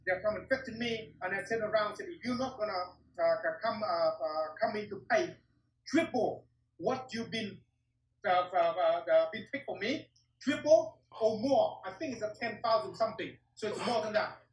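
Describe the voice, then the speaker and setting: A man's voice: high voice, normal speed. A middle-aged man from Vietnam, a martial arts teacher telling his story in an interview in blunt, broken English and swearing often. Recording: roomy echo, distant mic.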